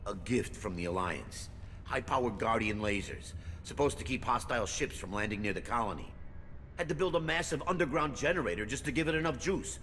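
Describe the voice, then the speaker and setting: An actor playing a rattled, resentful colony mechanic in a video game, suspicious and grumbling. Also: male voice, medium pitch, expressive, a little fast, fairly bright tone, big-room sound, slight background noise, close to an everyday voice. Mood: bitter, suspicious. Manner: grumbling, agitated.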